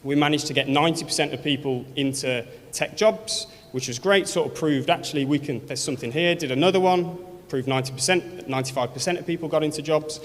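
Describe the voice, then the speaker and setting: A man's voice: on the high side, quick pace, few pauses. A man from Birmingham with a Birmingham accent, speaking earnestly at an awards event, with many fillers and restarts. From Birmingham, England. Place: large event hall. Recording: long hall reverb.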